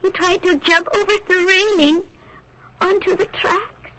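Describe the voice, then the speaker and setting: A woman's voice: very high-pitched, steady tone, measured pace, fairly bright tone. An actress playing a young, anguished French resistance woman in a radio drama, earnest and emotionally strained, on an old noisy broadcast recording. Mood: distraught confessing.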